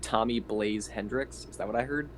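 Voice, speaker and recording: Man with lowish voice, casual player. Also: moderate pace, a crowd in the background. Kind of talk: casual chat and rules explanation. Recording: faint background noise on online call.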